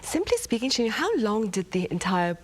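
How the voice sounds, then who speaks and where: A woman's voice: mid-range voice, sing-song. Woman asking interview questions. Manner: measured, polite questioning. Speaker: news program interviewer. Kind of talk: broadcast interview.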